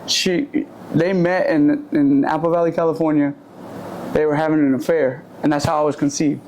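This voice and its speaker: A young man, raised in Puerto Rico, talks through his life story in a loose, candid way, often stopping to correct himself. His voice sits a little high for a man. A faint hum of room ambience stays under him. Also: little pitch movement, moderate pace, darkish tone, rough recording. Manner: candid, rambling, self-correcting. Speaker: guest, barber and shop owner. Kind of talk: casual interview storytelling. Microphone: handheld or shared mic.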